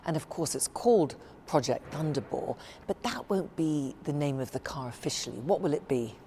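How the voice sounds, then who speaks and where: A woman TV reporter narrating a feature and interviewing, with a measured, polished delivery. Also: sits low, animated, dark tone, a little hiss or hum. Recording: narration over background music.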